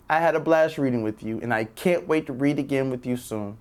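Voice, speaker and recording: A man hosting a children's read-aloud, addressing young viewers directly with drawn-out emphasis. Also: mid-range voice, a little mellow, clear, resonant tone. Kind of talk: presenting and reading a children's picture book.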